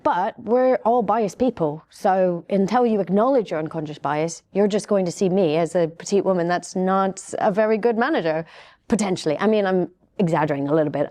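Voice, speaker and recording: A woman speaking on a panel, measured and thoughtful, slowing down to stress her points. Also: on the low side, mellow. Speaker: panel guest. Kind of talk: casual panel discussion.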